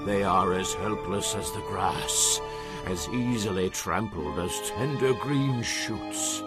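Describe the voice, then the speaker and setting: An actor playing a stern prophet who declares God's word in a solemn, emphatic, declamatory way for a dramatized audio Bible. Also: male voice, medium pitch, lightly acted. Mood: commanding, wrathful.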